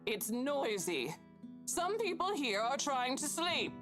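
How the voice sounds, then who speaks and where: A woman's voice: sits high, big pitch swings, slow pace, a bit bright, faint music behind, voice pushed up, heavily acted. A woman voicing a grouchy, bossy neighbor in a visual novel, irritated and scolding.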